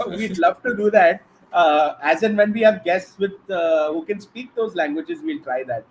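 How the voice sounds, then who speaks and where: A man's voice: high-pitched, very animated, a little slow, poor audio. A man with an Indian accent hosting and moderating a live question-and-answer show. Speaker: host. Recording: faint background noise.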